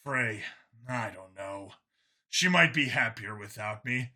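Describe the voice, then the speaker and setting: A man voicing a jaded, emotionally guarded male cop who talks bluntly and haltingly, questioning himself, in an audiobook story. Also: on the low side, lively intonation, bright, forceful tone, voice pushed down, heavily acted. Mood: troubled, unsure.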